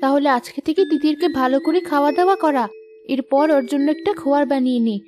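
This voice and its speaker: A woman's voice: high voice, fast, darkish tone, natural voice. A woman voicing a loving, worried mother of a poor village family in a moral story cartoon. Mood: practical, motherly. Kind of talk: scripted children's moral story cartoon dubbing.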